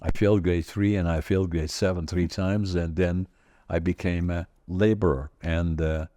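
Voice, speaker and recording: A man in his eighties with a Dutch accent, telling stories from his life in a halting, rambling way, full of fillers and restarts. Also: low-pitched, fairly even intonation, warm tone, no echo. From Northern Netherlands. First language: Dutch regional dialect. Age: 80s. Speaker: podcast host. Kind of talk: casual conversational storytelling.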